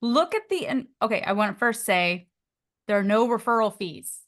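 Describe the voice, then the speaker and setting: A woman's voice: fairly high pitch, lively intonation, normal speed, a bit bright. A woman coach hosting a group video call, upbeat and encouraging, calling on participants.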